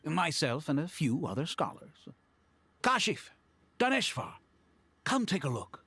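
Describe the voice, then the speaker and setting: A man's voice: on the high side, huge pitch swings, measured pace, vocal fry, sharp tone, breathy edge, exaggerated voice. A man voicing an eager, chatty, friendly scholar in a video game, with an informal manner.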